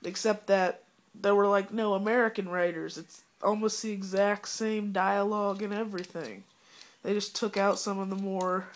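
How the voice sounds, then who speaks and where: A young fan reviewer talks through a cold, with coughs breaking in. He rambles casually and fills the gaps with "uh" and "like". His tone stays disappointed the whole way. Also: medium pitch. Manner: rambling, disappointed, many fillers. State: sick, coughing.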